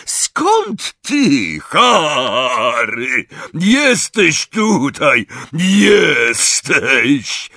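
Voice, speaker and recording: A man voicing a huge, warm-hearted, boisterous half-giant in an audiobook, roaring and breathy, with excitable, rough colloquial speech. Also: mid-range voice, takes it slowly, no room sound, studio quality, pitched above the performer's own voice, full character voice. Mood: astonished joy. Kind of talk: audiobook character voice.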